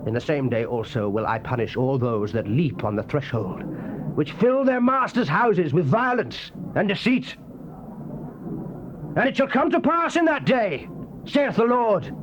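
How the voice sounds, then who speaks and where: An actor playing a fiery prophet proclaiming God's wrath in solemn, archaic, declamatory scripture style. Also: male voice, sits high, full character voice. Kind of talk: dramatized scripture reading, archaic King James English. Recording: muffled, noisy old cassette tape.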